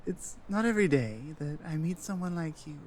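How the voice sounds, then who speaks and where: A man's voice: a little high, animated, brisk, a little hiss or hum, natural voice. A man playing an earnest, self-doubting Nordic guide and adventuring companion in a roleplay audio drama, musing hesitantly. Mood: self-doubting, grateful.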